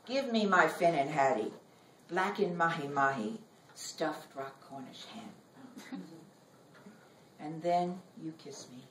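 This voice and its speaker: A middle-aged woman giving a live poetry reading in measured, deliberate phrases with dramatic pauses. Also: low voice, lively intonation, a crowd in the background. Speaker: poet reading own work. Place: library reading room. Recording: roomy, noisy recording, distant from mic.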